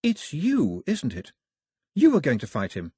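A man voicing a bold, sharp-tongued young girl with an urgent, challenging manner in an audiobook story. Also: on the high side, huge pitch swings, measured pace, breathy edge, dry recording, clean studio sound, pitched above the performer's own voice, full character voice. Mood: urgent, accusing.

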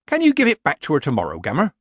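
A man's voice: on the high side, wildly swooping pitch, very fast, a bit bright, slightly rough, no room sound, studio quality, voice pushed up, exaggerated voice. A man voicing a blunt, earthy old witch woman in an audiobook story, plain-spoken and colloquial. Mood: dry, shrewd.

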